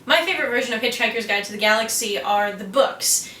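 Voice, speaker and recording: A woman's voice: a little high, quick pace, pushed and bright. A woman co-hosting a geek culture explainer, presenting scripted material. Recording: echoey room with faint noise.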